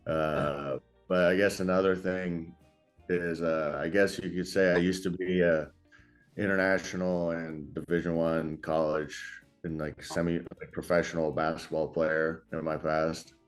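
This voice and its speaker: A man in his thirties with an extremely deep voice and an American accent. He is a native Afrikaans speaker from South Africa, talking casually as an interview guest in an informal, slightly hesitant way. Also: flat delivery, unhurried, soft background music. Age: 30s.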